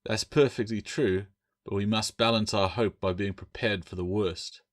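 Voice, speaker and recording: Man playing a grim, low-voiced pirate captain. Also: steady tone, lightly acted. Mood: grave, wary. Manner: measured, ominous, firm. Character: grim, wary, tall male pirate captain.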